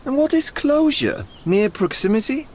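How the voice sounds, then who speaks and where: A man's voice: very high-pitched, very animated, moderate pace, darkish tone. A genteel, theatrical male art dealer for a game cutscene. His florid, teasing phrases rise and fall dramatically, with a sly, probing undertone. Faint ambient noise sits behind the voice. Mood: musing, probing. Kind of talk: video game cutscene acting.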